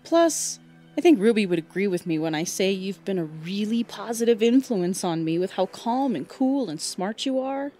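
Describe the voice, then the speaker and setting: A woman playing an earnest, affectionate teenage girl in an audiobook, speaking sincerely in halting, casual phrases. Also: mid-range voice, mellow. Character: earnest, affectionate teenage girl fighter, supportive best friend. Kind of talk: audiobook fan fiction dialogue.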